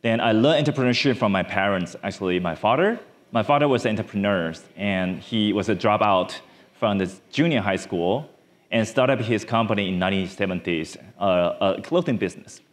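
Halting man on stage. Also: on the low side. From Taiwan. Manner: halting, many fillers and restarts. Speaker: startup founder speaker. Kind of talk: conference talk. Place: large dark stage. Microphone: lapel mic.

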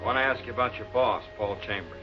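A man's voice: medium pitch, quick pace, music in the background, close to an everyday voice. A man playing a confident police lieutenant in a 1940s television crime drama, blunt, clipped and matter-of-fact. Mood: blunt, questioning. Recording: muffled, noisy old film soundtrack.

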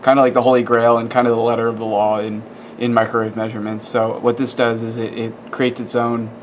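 Flat-toned man explaining, noisy room. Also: medium pitch, brisk. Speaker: presenter of an electronics demonstration. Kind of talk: informal hands-on technical explanation. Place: indoor electronics workbench. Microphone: camera microphone, behind the camera.

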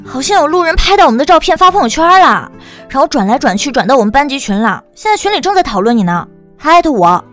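A woman's voice: sits high, sing-song, fast, faint music behind. A woman playing a bubbly, teasing, gossipy young best friend in an audiobook drama, chatty and full of exclamations. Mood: alarmed.

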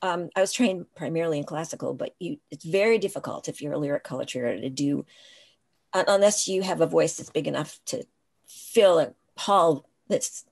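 Low, husky older woman recounting stories. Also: moderate pace, a little mellow. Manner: reflective, storytelling, frequent restarts. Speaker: interview guest, writing professor. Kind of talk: casual conversational interview. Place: home room, indoors. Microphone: video call.

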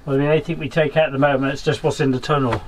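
Higher-voiced man chatting underground, noisy recording. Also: flat delivery, racing. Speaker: miner showing a visitor round. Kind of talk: casual explanatory chat. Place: small underground coal mine tunnel. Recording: noisy on-location camera audio.

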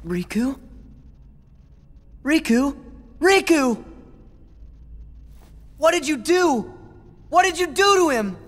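An earnest teenage hero with a very high, bright-edged young voice that rises and falls as he talks, unhurried and sincere. The line rings with a long hall-like reverb over soft game music. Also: sing-song, full character voice. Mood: alarmed. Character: earnest kind-hearted teenage boy hero. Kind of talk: video game voice acting.

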